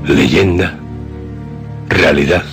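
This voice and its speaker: Very deep Castilian man narrating horror. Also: extremely slow, gravelly, over music. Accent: Castilian Spanish. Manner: solemn, ominous storytelling. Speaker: narrator and host of a radio horror show. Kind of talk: dramatic scripted horror narration.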